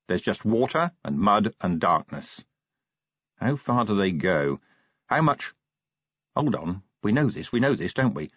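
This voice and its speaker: A man voicing a gruff, weary police commander in a storybook, blunt, suspicious and demanding, with a growl. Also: lowish voice, no room sound, highs cut off, full character voice.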